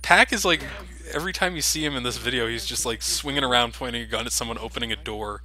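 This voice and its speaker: A man's voice: mid-range voice, fast, very noisy. A young man casually commenting over game cutscenes, joking and critical of the game as the host. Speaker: let's play host.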